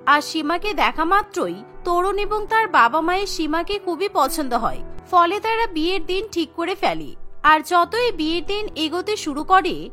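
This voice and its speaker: Very high, bright cartoon narrator woman. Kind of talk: scripted storybook narration.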